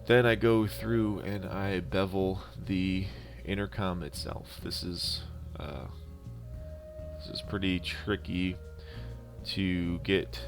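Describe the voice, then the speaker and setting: A man narrating a DIY prop-building tutorial in a casual, unscripted and halting way. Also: deep voice, steady tone. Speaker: DIY prop-making video host. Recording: instrumental music behind the voice. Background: music.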